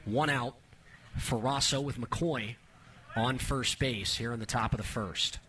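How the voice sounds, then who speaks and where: Male radio baseball announcer, bright forward voice, clipped play-by-play delivery that lifts with the action. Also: medium pitch, unhurried. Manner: clipped descriptive phrases, rising excitement on action. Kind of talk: radio sports play-by-play commentary.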